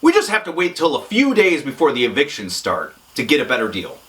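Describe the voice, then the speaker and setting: A man playing a gruff, stubborn police negotiator boss with a curt, dismissive manner in a comedy sketch. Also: mid-range voice, huge pitch swings, fairly quick, a bit bright.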